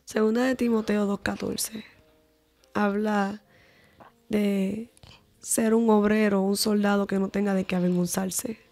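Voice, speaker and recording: Evangelista dominicana habladora. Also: female voice, mid-range voice, flat delivery, measured pace, pauses often, darkish tone, faint music behind. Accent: Dominican Spanish. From Dominican Republic. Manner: talkative, lengthy explanations. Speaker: evangelist guest. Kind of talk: podcast interview testimony.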